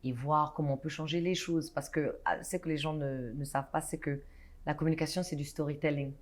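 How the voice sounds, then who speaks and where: A woman's voice: deep voice, fairly even intonation, a little fast. A woman in her thirties from Guinea, a guest in a studio interview, talking animatedly with frequent restarts and hesitations. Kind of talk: casual interview talk. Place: studio set.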